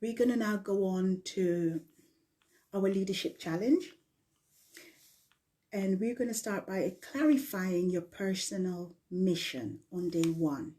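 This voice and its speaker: Mellow middle-aged woman, coaching talk. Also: medium pitch, takes it slowly. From Guyana, later living in the UK. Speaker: leadership coach presenting a challenge. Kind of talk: live online coaching talk from slides.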